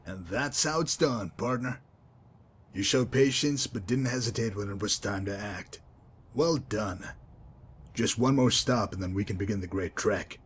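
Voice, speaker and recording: A man's voice: fairly low pitch, unhurried, breathy edge. A man voicing a sardonic, menacing enforcer in a video game, wry, wordy and mockingly polite. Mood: approving, calm. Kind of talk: scripted video game dialogue.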